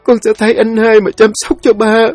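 A man's voice: high voice, completely flat delivery, normal speed, darkish tone, no room sound, soft background music, pitched above the performer's own voice, close to an everyday voice. A man voicing a grieving grown daughter who tenderly pleads with her old father to hold on. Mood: tearful.

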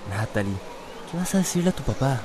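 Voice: mid-range voice, big pitch swings, fast talker, background noise, natural voice. An actor playing a skeptical, teasing young boyfriend in a radio drama, dry and sarcastic. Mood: questioning.

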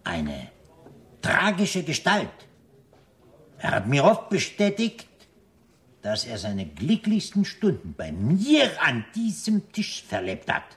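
An actor playing a self-important restaurant owner in a radio drama, florid and ceremonious, with an unctuous, sinister charm. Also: male voice, a little high, wildly swooping pitch, slow, fairly bright tone, exaggerated voice. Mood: mock solemn, sinister. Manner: florid, ceremonious, repeats polite phrases. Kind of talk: German radio drama acting.

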